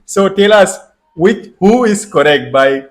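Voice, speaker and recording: A man's voice: sits high, lively intonation, a little slow, slight background noise. A man from Mizoram hosting a casual, laughing language challenge with friends, coaching their pronunciation. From Mizoram, India. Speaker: channel host.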